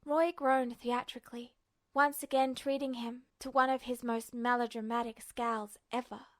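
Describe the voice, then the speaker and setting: Higher-voiced woman narrating a story. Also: flat delivery, moderate pace, a bit bright. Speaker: fan fiction podfic narrator. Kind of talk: scripted story narration, audiobook reading.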